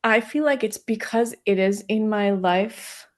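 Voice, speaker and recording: A woman from Iran, a native Farsi speaker, guesting on a podcast and talking in a halting way with many fillers. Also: medium pitch. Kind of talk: casual conversation.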